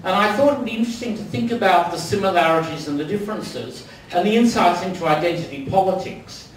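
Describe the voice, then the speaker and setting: A man's voice: high-pitched, fast, slight background noise. An older man with an Australian accent giving a wry, anecdotal after-dinner speech in a large dining room. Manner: wry, anecdotal, with many ums. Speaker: dinner speaker. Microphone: distant mic. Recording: echoey hall from far off.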